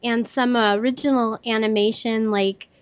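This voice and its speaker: A woman's voice: a little high, fairly even intonation, moderate pace, no room sound, highs cut off. A middle-aged woman voice actress, speaking casually in an interview from her own office over an online call, with a youthful, kid-like natural voice. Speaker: voice actress guest. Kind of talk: conversational interview.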